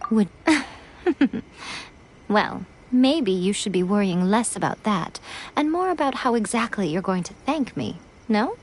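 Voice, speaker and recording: An actress playing a cunning, self-assured shrine maiden in a video game, playful, coy and knowing. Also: female voice, mid-range voice, very animated, slow pace, slight background noise, rough recording. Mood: coy, amused. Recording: music under the voice.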